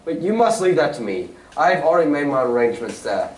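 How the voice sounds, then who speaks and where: Man acting a lively, high-pitched gentleman detective. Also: fast. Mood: assured, scheming. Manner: precise, formal, theatrical. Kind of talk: old-time radio drama acting on stage. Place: community playhouse stage. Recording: roomy, distant stage recording, faint noise.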